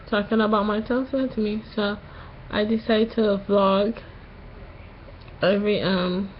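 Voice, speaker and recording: Young woman, post-surgery sore throat, slow halting delivery, slightly nasal, muffled recording. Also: mid-range voice, a little hiss or hum. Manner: slow, halting, pauses often. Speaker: vlogger. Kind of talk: casual vlog to camera.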